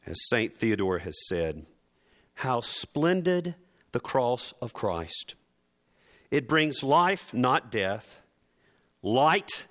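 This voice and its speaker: A man preaching a sermon in an emphatic, dramatic and deliberate way, building through repeated phrases. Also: mid-range voice, fairly bright tone.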